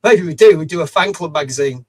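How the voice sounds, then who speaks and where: A middle-aged man with a rough voice, hosting a live stream, chatty and reading out viewers' messages with casual swearing. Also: on the high side, animated, quick pace. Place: small home studio.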